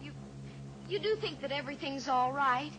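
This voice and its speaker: A woman's voice: high voice, expressive, normal speed, background noise. An actress playing an eager, earnest young woman pilot in a 1950s radio drama, a little anxious in manner. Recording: old radio recording with music.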